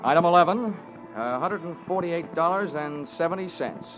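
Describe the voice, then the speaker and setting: An American actor playing a tough, wry insurance investigator who narrates his case in clipped, deadpan 1940s radio detective style. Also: on the high side, normal speed, lightly acted. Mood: wry, dry. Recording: dull vintage radio recording with music.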